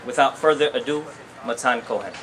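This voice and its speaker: A man's voice: mid-range voice, a little fast. A man acting as event emcee, making announcements and reading out a formal introduction. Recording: noisy live event recording.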